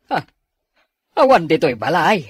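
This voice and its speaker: A man playing a grumbling middle-aged village father in an Ilocano radio drama, with a rough voice and an Ilocano accent. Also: on the high side, sing-song, a little slow, dry recording, exaggerated voice.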